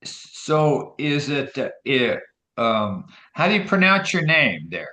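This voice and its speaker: A man's voice: medium pitch, sing-song, slow pace, sharp tone, roomy sound. A man taking part in a group call, making casual, inquisitive small talk. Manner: chatty, inquisitive. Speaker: session participant.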